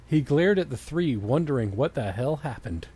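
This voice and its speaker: A man's voice: mid-range voice, expressive, normal speed, dark tone, husky. A man doing a dramatic comedic reading of fan fiction, breaking into exasperated, mocking asides. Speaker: co-host of a comedy reading show.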